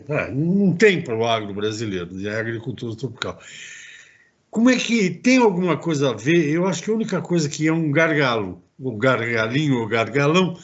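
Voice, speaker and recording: A man's voice: medium pitch, lo-fi sound. A man hosting an interview show, chatting in a rambling way with many restarts. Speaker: host. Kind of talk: casual interview talk.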